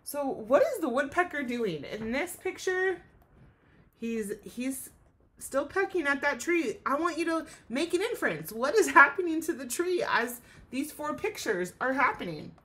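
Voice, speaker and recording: Female teacher for a kids' read-aloud lesson: high pitch, lively swoops, expressive, explains as she goes. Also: unhurried. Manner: expressive, asks listeners questions and explains.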